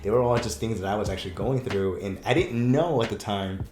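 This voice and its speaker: Animated rambling man in an echoey room. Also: fairly low pitch, fairly quick, background noise. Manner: animated storyteller, laughs often, rambling restarts. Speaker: breakup coach guest. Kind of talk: casual podcast chat.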